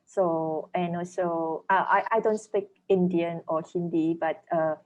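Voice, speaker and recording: Brief: Malaysian-accented woman, Chinese first language, slightly low voice, measured and reflective interview guest. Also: steady tone, darkish tone, lo-fi sound. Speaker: author and dentist guest. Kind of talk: interview answers.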